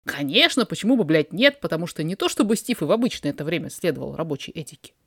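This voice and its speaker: A narrator reading a fiction story aloud in an expressive, dramatized way. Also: mid-range voice, fast, vocal fry, husky, no echo, studio quality. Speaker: podfic reader, narrator.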